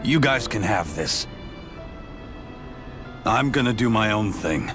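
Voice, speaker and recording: Low, gruff brooding big brother. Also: male voice, lively intonation, slow, over music. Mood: guarded, moody. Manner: laconic, gruff, hesitant. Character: gruff, protective, brooding older brother warrior. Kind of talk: video game cutscene acting.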